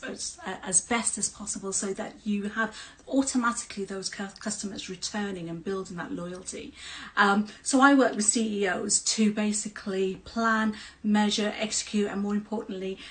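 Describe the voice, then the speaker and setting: A woman's voice: medium pitch, a bit bright, low-quality recording. A middle-aged woman, a business coach hosting a podcast, upbeat and encouraging with ready laughter. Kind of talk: casual interview chat.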